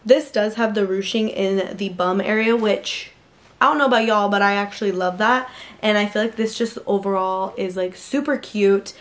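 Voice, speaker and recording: A woman in her twenties doing a chatty, gushing try-on haul review from her bedroom, filled with "like". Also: mid-range voice. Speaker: fashion vlogger. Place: pink-lit bedroom.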